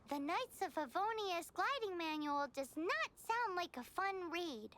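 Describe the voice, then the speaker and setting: Tiny squeaky fairy companion. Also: female voice, expressive, slow, a bit bright, heavily acted. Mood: grumbling. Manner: speaks of herself in third person. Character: tiny childlike fairy companion, cheeky and easily bored. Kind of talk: video game character dialogue.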